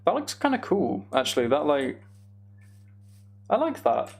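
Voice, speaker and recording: Casual gravelly male game streamer. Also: fairly high pitch, huge pitch swings, a little slow. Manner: muttering to himself while working things out. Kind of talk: casual live gameplay commentary, thinking aloud.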